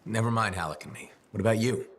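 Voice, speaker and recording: A calm mercenary in a game cutscene, dry and gently reassuring. His voice is very deep and steady. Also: fast. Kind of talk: video game cutscene acting.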